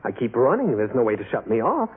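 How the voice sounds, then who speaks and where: A man's voice: medium pitch, wildly swooping pitch, quick pace, husky, narrow, tinny audio. A man playing a polite, brooding robot double of a human in an old-time radio drama, speaking with formal courtesy. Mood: aggrieved, insistent. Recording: band-limited old radio broadcast recording.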